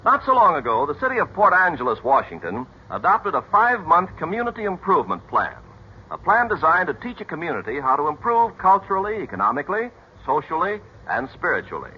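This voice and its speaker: American radio announcer, very animated pitch swings. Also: male voice, fairly quick, husky. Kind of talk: scripted mid-century radio announcing. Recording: muffled vintage radio broadcast.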